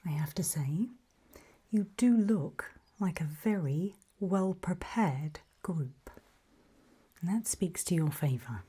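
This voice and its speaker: Woman acting slow, whispering, faintly sinister briefing official. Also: lowish voice, animated, dark tone, full character voice. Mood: soothing. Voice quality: breathy whisper. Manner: slow, measured, politely ominous. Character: calm, faintly sinister official briefing people before alien zone. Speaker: ASMR roleplay performer. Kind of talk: whispered ASMR roleplay briefing.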